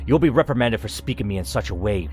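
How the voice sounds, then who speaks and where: A man's voice: lowish voice, expressive, fast, darkish tone, natural voice. A man voicing an older male facility boss, powerful and tired, commanding and curt, in a game story. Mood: stern. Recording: music under the voice.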